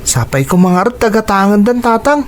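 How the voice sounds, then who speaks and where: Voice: mid-range voice, expressive, a little fast, slight background noise, natural voice. Earnest young Filipino farm boy, acted out. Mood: respectful, cheerful. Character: earnest, respectful, hardworking farm boy, eager to help. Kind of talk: Ilocano radio drama acting.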